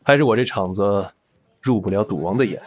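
A man playing a smooth, menacing casino boss in an audio drama, suave, teasing and commanding. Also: lowish voice, a little fast, lightly acted. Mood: falsely genial. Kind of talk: Mandarin audio drama acting.

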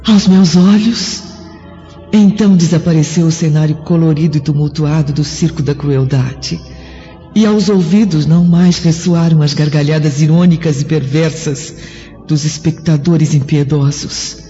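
A woman playing a gentle, wonderstruck martyr's spirit in a solemn, lyrical, declamatory radio drama. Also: low-pitched. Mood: tender sorrow. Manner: measured, lyrical, declamatory storytelling. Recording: muffled old radio drama, long reverb, music.

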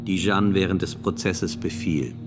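An actor playing a formal, probing examiner at a medieval church inquiry in a radio play. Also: male voice, low voice, moderate pace, music in the background, natural voice.

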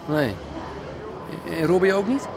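Pushy, animated younger-generation hustler. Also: male voice, high-pitched, measured pace, noisy background. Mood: restless. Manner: impatient, blunt, swearing. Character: pushy, irritable son desperate for money. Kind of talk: naturalistic radio drama acting.

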